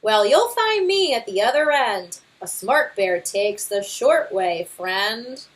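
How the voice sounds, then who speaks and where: Woman voicing a boastful storybook papa bear, animated. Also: on the high side, slow. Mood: confident, boastful. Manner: pompous, emphatic, singsong rhyming delivery. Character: boastful, stubborn father bear, big know-it-all. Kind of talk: children's picture book read-aloud.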